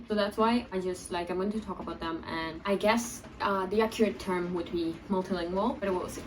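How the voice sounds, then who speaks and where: A young woman from the talks informally to camera in a medium-pitched voice, wandering through asides. She is recorded indoors at a slight distance from the mic, with clear storm noise behind her. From Basque Country, Spain. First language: Basque. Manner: informal, rambling, frequent "like" asides. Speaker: vlogger. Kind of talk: casual chat to camera. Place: indoors at home, under a skylight. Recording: distant mic, clearly noisy room, rainstorm. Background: storm rain noise.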